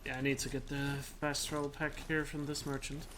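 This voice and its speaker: A man's voice: medium pitch, little pitch movement, brisk, noisy background. A man with a Danish accent giving casual live-stream commentary as a speedrunner; chatty, he laughs often and swears at his mistakes. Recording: stream mic with game sounds behind.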